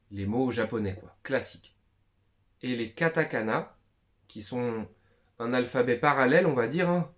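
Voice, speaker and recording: Chatty man teaching a casual lesson. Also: mid-range voice. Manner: chatty, slangy and informal, repeats and restarts. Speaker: YouTube Japanese tutor. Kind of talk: casual beginner language lesson.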